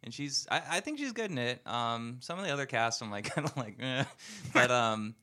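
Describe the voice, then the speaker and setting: A man hosting a loose movie podcast with a bright, forward tone. He chats easily and laughs a lot between thoughts. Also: medium pitch, expressive, normal speed. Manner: chatty, laughs often. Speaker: co-host. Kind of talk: casual chat.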